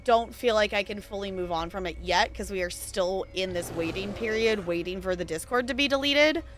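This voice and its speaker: A woman's voice: medium pitch, fairly quick, fairly bright tone, faint music behind. A woman streamer chatting casually while she plays, laughing often and talking to the game. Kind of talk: casual chat while gaming.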